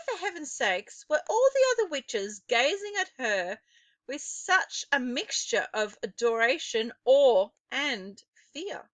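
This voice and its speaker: Female storybook narrator: crisp bright tone, slightly high, deliberate suspenseful phrasing, dry close sound. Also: dry recording. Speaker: teacher reading a children's novel aloud.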